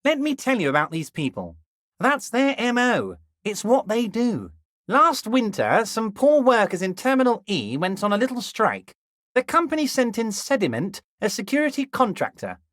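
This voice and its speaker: A man playing a smug, wily old union boss in a video game, folksy and insinuating, with mock modesty and drawn-out emphasis. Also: high-pitched, wildly swooping pitch, fairly bright tone, no echo, studio quality, full character voice. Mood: knowing, indignant. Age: older. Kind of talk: video game character dialogue.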